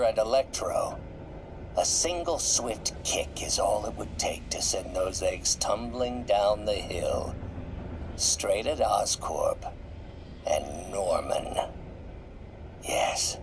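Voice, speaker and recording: A man playing a bitter, scheming older scientist turned game villain, deliberate and articulate, dropping into menacing whispers. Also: lowish voice, noisy background. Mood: menacing, calculating. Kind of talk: dramatic recorded monologue in a video game.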